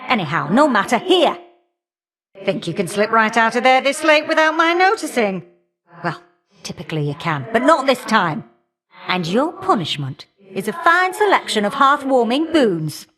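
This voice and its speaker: A woman's voice: on the high side, sing-song, moderate pace, a bit bright. A woman playing an irritable, motherly old goddess in a video game, scolding and teasing in a folksy way with old-fashioned endearments. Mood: teasing, warm. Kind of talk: video game character dialogue.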